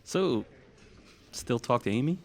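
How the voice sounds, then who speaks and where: A man's voice: mid-range voice, lively intonation, a little slow. A man playing an easygoing, plain-spoken young guy in a naturalistic audio drama, talking casually. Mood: curious. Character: easygoing young man confiding past events.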